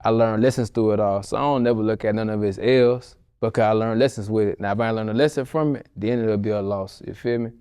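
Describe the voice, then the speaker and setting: A man's voice: fairly low pitch, steady tone, fast talker, few pauses, mellow, smooth, no room sound, studio quality. A man from Baton Rouge, an interview guest speaking in an easygoing way, full of slang. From Baton Rouge, Louisiana. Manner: easygoing, talks in slang and metaphors. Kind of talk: casual interview talk.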